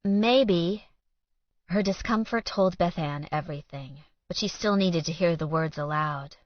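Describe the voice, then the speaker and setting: A woman's voice: low voice, normal speed, dry recording, muffled recording. A woman audiobook narrator reading fiction in a scripted storytelling style.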